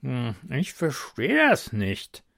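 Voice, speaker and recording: Man doing a high mocking court jester. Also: big pitch swings, unhurried. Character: bossy scrawny male court jester.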